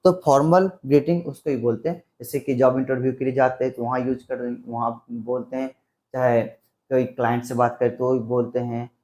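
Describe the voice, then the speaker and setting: A man's voice: medium pitch, fairly even intonation, moderate pace, darkish tone. A man giving a spoken English lesson, explaining step by step and repeating example phrases. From Bihar, India. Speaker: English teacher.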